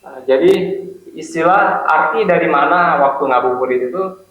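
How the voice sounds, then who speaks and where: Young man from Aceh, slightly high voice, tentative hedging delivery, dull echoey recording. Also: moderate pace, fairly bright tone. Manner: hedging, many "mungkin" and "nah". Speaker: guest. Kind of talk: casual chat. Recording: echoey room, dull sound.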